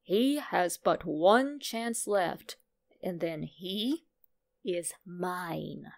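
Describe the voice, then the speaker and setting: A woman's voice: lowish voice, extremely slow, creaky, exaggerated voice. A woman voicing a menacing male boogeyman with an ominous, formal way of speaking, in a horror story. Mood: grave threat. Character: menacing male boogeyman claiming disobedient children. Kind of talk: horror story character reading.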